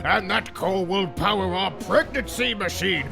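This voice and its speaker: A high, creaky, ancient tycoon declaims over loud music. He is pompous, foolish and cantankerous, swooping through every line of this comic dub. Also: unhurried, exaggerated voice. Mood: foolishly smug. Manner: pompous, melodramatic, doddering.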